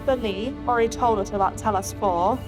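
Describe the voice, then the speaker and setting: A woman's voice: medium pitch, brisk, over music, natural voice. A woman playing a blunt, suspicious starship master chief in a science fiction audio drama. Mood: blunt, questioning. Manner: blunt, challenging.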